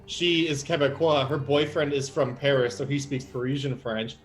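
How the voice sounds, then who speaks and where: A young man, telling stories animatedly and laughing often in a relaxed group chat. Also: mid-range voice, normal speed, poor audio. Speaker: player. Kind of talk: casual chat between game sessions.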